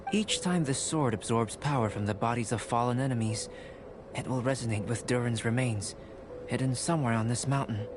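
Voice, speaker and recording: A man voicing a composed young alchemist scholar in a video game, speaking in a measured, formal, explaining way. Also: medium pitch, natural voice. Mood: calm. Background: game music.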